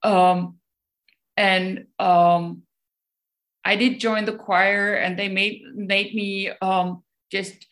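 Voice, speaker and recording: German woman chatting, lots of ums and restarts, mid pitch. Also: little pitch movement, very slow, a bit bright. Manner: hesitant, many ums and restarts. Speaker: guest speaker. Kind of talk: casual talk answering questions.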